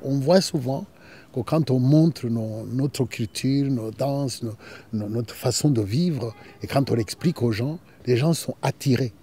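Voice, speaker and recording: A man's voice: medium pitch, measured pace, dark tone, slight background noise. A man from the Rwandan diaspora talking casually in an interview, repeating phrases for emphasis.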